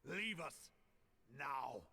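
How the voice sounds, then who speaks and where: A battle-hardened general who barks rebukes in a fantasy game. his voice is gravelly and forceful with a hard, bright edge. he speaks like a man used to being obeyed. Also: medium pitch, expressive, drawn-out words, heavily acted. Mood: rebuking. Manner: commanding, rebuking. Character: commanding male general, angry and forceful. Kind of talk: video game voice acting.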